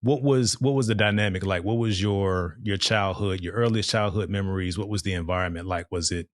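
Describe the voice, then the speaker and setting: Low-voiced man hosting an interview. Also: a little fast, dry recording, clean studio sound. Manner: affirming interviewer, frequent "okay", restarts questions. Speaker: podcast host. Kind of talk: casual interview.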